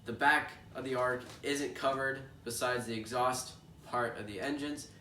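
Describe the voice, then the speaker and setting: A young man with a stuffy, congested voice talks casually while opening a toy box, wandering off track and filling gaps with ums and uhs. He sounds some distance from the mic in a roomy space, with steady background noise underneath. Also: medium pitch, normal speed. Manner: rambling and chatty with frequent fillers. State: congested from allergies. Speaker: toy unboxing host. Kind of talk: casual unboxing chat. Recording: echoey room, distant mic, noisy background.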